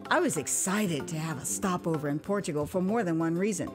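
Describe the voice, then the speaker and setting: An American woman narrating a dramatized memoir as its author, conversational and expressive, with dramatic pauses. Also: fairly low pitch, music in the background. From United States (Houston, Texas). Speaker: author and storyteller.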